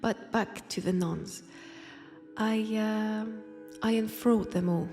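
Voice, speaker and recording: A woman with a French accent voicing an ancient, teasing vampire who poses as a nun, in a hushed, intimate roleplay drama. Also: mid-range voice, steady tone, soft background music. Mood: confiding, seductive. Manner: slow, teasing, hushed, dropping into whispers. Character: ancient, powerful female vampire posing as nun, teasing, sardonic. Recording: long church-like reverb.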